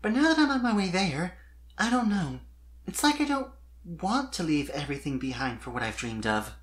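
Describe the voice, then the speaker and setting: A narrator voicing a sweet, shy young mare pony who speaks softly and a little hesitantly in a fan fiction reading. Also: medium pitch, big pitch swings, normal speed, pitched above the performer's own voice, close to an everyday voice. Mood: wistful, hesitant. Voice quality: soft voice.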